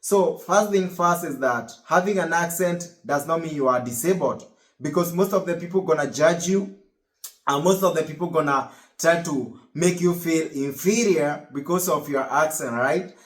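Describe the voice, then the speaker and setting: A young man with a Kenyan accent talks straight to his viewers, his voice sitting a little high for a man. He rambles, circles back and restarts phrases as he goes. The sound bounces around a reverberant room. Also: normal speed. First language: Kikuyu. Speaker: vlogger. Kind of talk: casual motivational talk to camera.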